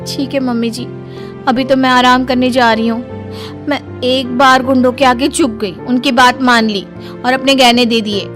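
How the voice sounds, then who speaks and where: Woman voicing young cartoon daughter-in-law, very high pitch, stubborn, defiant, argumentative, light music behind. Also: steady tone, moderate pace, close to an everyday voice. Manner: insistent, argumentative. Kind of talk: animated story character dialogue.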